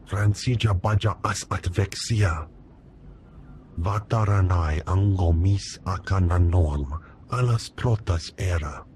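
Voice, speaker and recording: A video game alien with a low, slow voice. He intones a made-up language in measured phrases, with noisy ambience behind him. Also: darkish tone.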